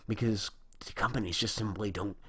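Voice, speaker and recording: A man's voice: lowish voice, fairly quick, a bit bright, husky. A young man in his early twenties, giving a rambling, indignant monologue as a video host, with a dry, strained throat. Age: young adult. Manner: rambling, indignant, frequent restarts. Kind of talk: opinion monologue on video games.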